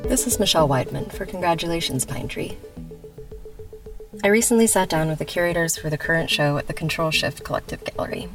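A woman reporter reading a scripted introduction in a measured, formal way. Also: sits low. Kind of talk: scripted narration. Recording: distant voice over music.

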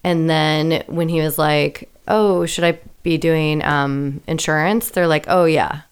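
Giggly hedging woman guest, smooth voice. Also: fairly even intonation, unhurried. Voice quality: booming voice. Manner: giggly, hedging, self-deprecating. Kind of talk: casual chat.

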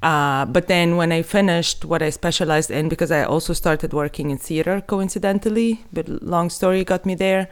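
A woman's voice: fairly low pitch, normal speed, few pauses. A woman from Egypt whose first language is Arabic, speaking English as a podcast guest. She talks in a chatty, self-interrupting way and laughs at herself. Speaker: guest, artist and translator. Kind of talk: casual interview chat.

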